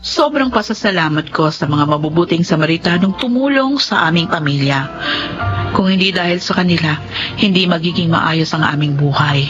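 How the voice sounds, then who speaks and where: A woman's voice: lowish voice, expressive, moderate pace, lightly acted. An actress playing a poor, humble and devoted mother with a Filipino accent in a radio drama. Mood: relieved, heartfelt. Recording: dull recording under clear music.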